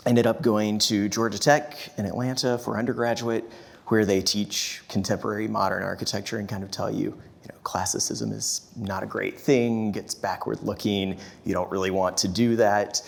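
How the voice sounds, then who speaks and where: A man's voice: lowish voice, normal speed, gravelly. A man from the southern United States, telling on a panel how he came to architecture. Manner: steady, storytelling. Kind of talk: panel discussion answer.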